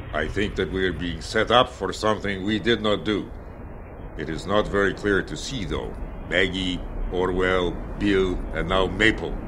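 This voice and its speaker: A man playing a stiff, formal, deep-voiced man. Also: noisy background, natural voice. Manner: formal, measured, no contractions. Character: calm, stiff-spoken man named Nikolai. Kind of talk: amateur audio drama.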